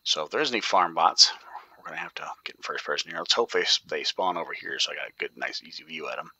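A man's voice: rumbling low, lively intonation, quick pace, sharp tone, husky, no room sound. A man giving casual commentary while he plays a video game, thinking aloud and often dropping to a whisper. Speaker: gaming YouTuber.

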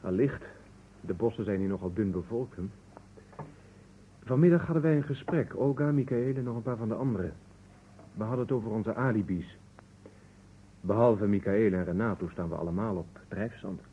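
A man's voice: lowish voice, normal speed, long pauses, close to an everyday voice. An actor playing a cool, courteous, laconic man being questioned in a vintage Dutch radio drama. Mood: dry, cool. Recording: slightly dull, noisy old radio recording.

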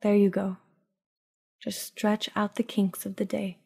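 Female meditation guide: dark, relaxed tone, very even pitch, soothing and hushed, leaving long pauses. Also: vocal fry, slightly rough. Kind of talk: guided sleep meditation, scripted narration.

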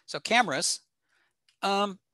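A man with a bright, forward voice gives an informal technical presentation. His delivery is plain-spoken and matter-of-fact. The sound is dry and close, with very little room in it. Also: high voice, lively intonation, slow, no echo. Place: indoor home room, over a video call.

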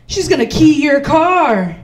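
A woman's voice: high-pitched, very animated, unhurried. A woman in her thirties doing stand-up as host in a small comedy club, playful and self-mocking on a handheld mic.

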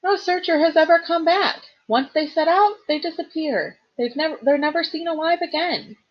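A woman's voice: very high voice, moderate pace, lo-fi sound, pitched above the performer's own voice. A woman voicing a plaintive young satyr. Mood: somber. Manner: earnest, plaintive, emphatic. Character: earnest young male satyr, gentle and worried.

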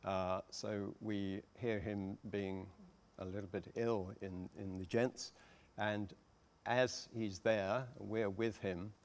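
An older man giving a halting, deliberate explanation on a discussion panel. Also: fairly low pitch, little pitch movement. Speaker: panellist, radio adapter. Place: theatre stage, seated panel. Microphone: lapel mic.

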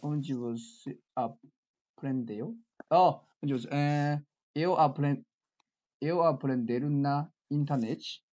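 A man in his twenties with a Chinese accent speaks slowly and hesitantly, often restarting and repeating words. His voice is heard through dull, lo-fi video call audio from a small room. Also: on the high side, dark tone. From China. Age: 20s. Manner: halting, hesitant, repeats words while searching. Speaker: language learner and channel host. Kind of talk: casual video chat. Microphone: webcam on a video call.

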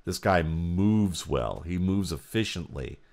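A man's voice: sits low, expressive, slow pace, darkish tone. An American man giving football film breakdown commentary, explaining each detail as he thinks aloud.

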